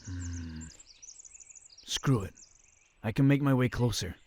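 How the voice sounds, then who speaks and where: An actor playing a disciplined, dry-witted young crusader in a medieval fantasy audio drama, speaking in measured, formal phrases. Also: male voice, lowish voice, expressive, darkish tone, breathy edge, full character voice. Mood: tense self-doubt. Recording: faint background noise.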